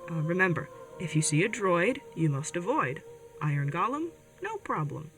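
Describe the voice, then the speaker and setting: A woman's voice: lowish voice, very animated, moderate pace, fairly bright tone. A woman playing an earnest, caring older sibling who hosts a community radio show in an audio drama, chatty and a little hesitant. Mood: earnest, explanatory. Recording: music bed behind the voice.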